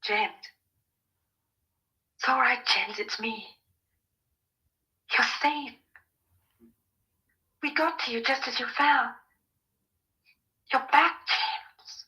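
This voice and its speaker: A woman's voice: a little high, extremely slow, long pauses, pushed and bright, heavily acted, poor audio. An actress playing a headstrong, passionate young woman in love, earnest and insistent, in an old radio drama. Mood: tender, hushed.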